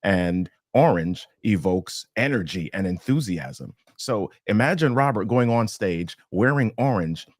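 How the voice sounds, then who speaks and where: A man with a fairly low voice explains his ideas as a guest on a live business panel. He talks things through and keeps checking in with his listeners. He speaks from a home studio, and the sound is dry and close. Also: expressive, unhurried, few pauses, no room sound. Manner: explanatory, often adding "right?". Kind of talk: panel discussion. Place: home studio with accent lighting.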